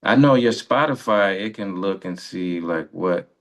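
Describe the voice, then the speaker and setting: A man's voice: low-pitched, measured pace. A man hosting a radio interview in casual, rambling chat, often breaking off and rephrasing his sentences. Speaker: radio show host.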